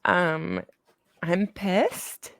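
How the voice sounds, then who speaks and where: A woman co-hosting a podcast in casual, tired-sounding chat that is relaxed and full of laughter. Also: lowish voice, very slow, dry recording, studio quality. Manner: laughs often, relaxed and chatty. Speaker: podcast co-host.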